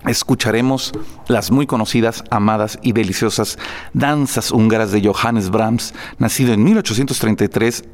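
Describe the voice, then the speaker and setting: A man's voice: fairly low pitch, moderate pace. A man presenting spoken program notes before a classical concert, reading prepared text with fillers and restarts.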